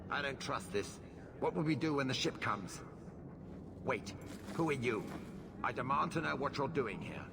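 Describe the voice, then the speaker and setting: A shifty nobleman, imperious and irritable, with a creaky, mid-pitched voice. He is a fantasy game villain type, with clear ambience behind him. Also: animated, normal speed, pauses often, noisy background. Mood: suspicious, anxious. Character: haughty, nervous nobleman in hiding, shifty. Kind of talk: video game cutscene acting.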